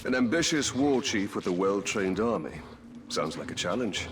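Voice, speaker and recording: A man's voice: on the low side, lively intonation, moderate pace, lightly acted. A man playing a stern, resolute ranger captain in a video game, speaking formally and with dry wit. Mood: grave. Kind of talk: video game character acting. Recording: distant miking, loud game ambience noise.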